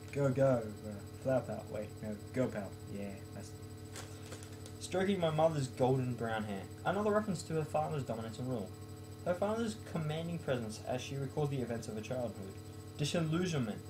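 A man's voice: mid-range voice, background noise. A young man in his late teens or early twenties, speaking casually as a student vlogger at home. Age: late teens to young adult. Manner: self-mocking, jokey. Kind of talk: casual talk and poem reading. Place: small bedroom, indoors.

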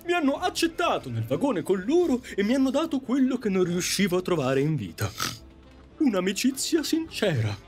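A man's voice: high voice, huge pitch swings, normal speed, darkish tone, soft background music. An actor playing a grateful, sentimental train passenger in an audio drama, telling his past with earnest, dramatic delivery. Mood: earnest, moved. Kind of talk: Italian audio drama acting.

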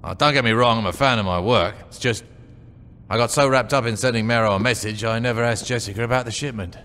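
An actor playing a ruthless, dry-witted street gang boss in a video game, terse, sardonic and menacing. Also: male voice, medium pitch, quick pace, fairly bright tone, close to an everyday voice. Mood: dry, commanding. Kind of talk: video game cutscene acting.

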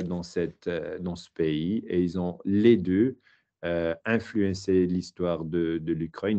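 A man's voice: deep voice, mellow, highs cut off. A middle-aged man with a Swiss German accent, a historian answering interview questions in a hesitant way, with many fillers and restarts, speaking from a home study.

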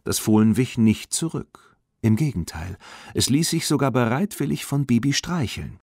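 A man's voice: medium pitch, expressive, normal speed, darkish tone, slightly rough, dry recording, studio quality. A man with a standard German accent reading a children's audiobook as scripted narration.

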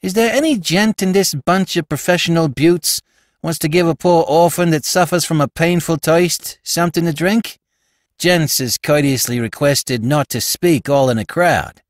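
A man's voice: on the high side, normal speed, no room sound, studio quality, pitched above the performer's own voice, close to an everyday voice. A man playing a ragged young street tough with a New York Bowery accent, whimsical, defiant and mock-courteous, in a storybook. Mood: cheeky, pleading.

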